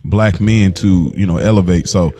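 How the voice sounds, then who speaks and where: A man co-hosting a podcast in casual conversation, talking earnestly and a bit haltingly. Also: low voice, mellow. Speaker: podcast co-host.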